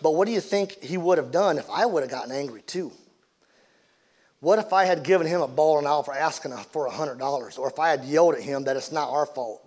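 A patient farmer father speaks softly and apologetically, never raising his voice, as a man reading a story gives him voice. The tone stays calm and steady, a touch high. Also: brisk, lightly acted. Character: gentle quiet farmer father, soft-spoken and patient.

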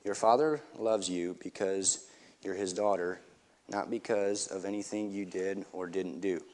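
A man reading the lines of a firm but gentle older nanny in a storybook, in an earnest voice with a few restarts. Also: low-pitched, steady tone, a little slow.

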